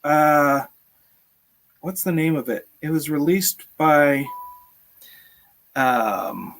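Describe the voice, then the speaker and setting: Jovial higher-pitched man chatting on stream. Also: expressive, very slow, vocal fry, fairly bright tone, rough recording. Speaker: live stream host. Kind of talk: casual live stream chat. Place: small room at home, video call.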